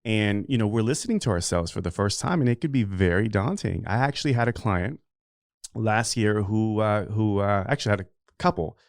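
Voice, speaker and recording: Low-voiced middle-aged man, earnest and rambling. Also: animated, brisk, clean tone, dry recording, studio quality. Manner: earnest, rambling with frequent fillers. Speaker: podcast consultant and guest. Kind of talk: conversational interview answers.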